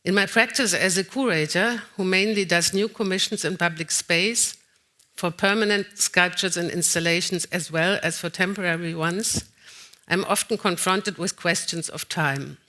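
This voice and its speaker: Bright-voiced woman giving a keynote. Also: on the low side. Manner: slightly halting, with frequent "uh" fillers. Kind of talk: lecture read partly from notes. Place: conference hall, at a lectern.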